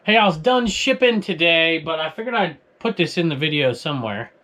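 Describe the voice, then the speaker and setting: Southern guy in his 40s chatting about ebay flips, rambly, bright tense voice, laughs a lot. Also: fairly high pitch, lively intonation, brisk. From Southern California. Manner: chatty, rambling, chuckling between thoughts. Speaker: eBay reseller and show host. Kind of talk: casual home vlog.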